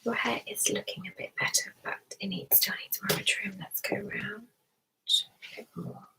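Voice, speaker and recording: A woman hosting an ASMR stream, speaking in a soft whisper with a gentle, soothing, unhurried manner. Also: mid-range voice, steady tone, low-quality recording. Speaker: ASMR live stream host. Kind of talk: ASMR personal attention roleplay.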